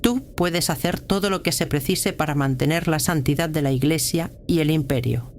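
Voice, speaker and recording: Stern senior church prioress, audio drama, formal, measured, authoritative, slight background noise. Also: female voice, low-pitched. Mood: cold, composed.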